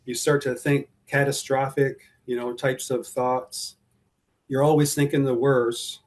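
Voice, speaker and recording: A man being interviewed about his anxiety, speaking hesitantly with frequent pauses and fillers. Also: mid-range voice, flat delivery. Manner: hesitant, many fillers and restarts. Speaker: guest describing his driving anxiety. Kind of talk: personal account in an interview.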